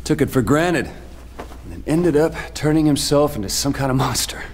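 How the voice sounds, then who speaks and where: An actor playing a bitter, tough young mercenary in a video game cutscene, American, with a blunt, sardonic delivery. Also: male voice, on the high side, normal speed, a little mellow, big-room sound, slight background noise, lightly acted. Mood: bitter, agitated. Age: young adult.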